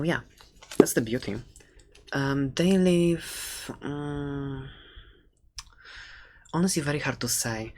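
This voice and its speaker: A woman's voice: very deep voice, a little hiss or hum. A woman playing a chatty, quirky dragon egg seller in an ASMR roleplay, talking in a hesitant, playful, conversational way close to the microphone. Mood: chatty, reassuring. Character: chatty, quirky seller of dragon eggs, fond of dangerous pets. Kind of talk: ASMR roleplay, casual rambling talk.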